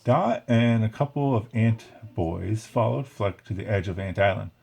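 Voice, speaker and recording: A man's voice: on the low side, moderate pace, vocal fry, darkish tone, husky. A man reading a children's story aloud expressively, with casual, chatty asides. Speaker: storybook reader. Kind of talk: read-along story narration with casual asides.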